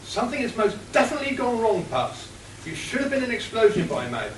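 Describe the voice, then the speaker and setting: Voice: medium pitch, sing-song, fairly quick, natural voice. A performer voicing a scornful, exasperated villainous mother in a comic radio play, scolding in manner. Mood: scornful, menacing. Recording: echoey room, distant mic, faint noise.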